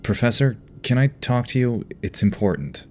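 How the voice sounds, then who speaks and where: A man's voice: medium pitch, animated, normal speed, a little mellow, faint music behind, lightly acted. A man playing an earnest, caring team leader in an audio drama, speaking with sincere concern. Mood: earnest, frustrated.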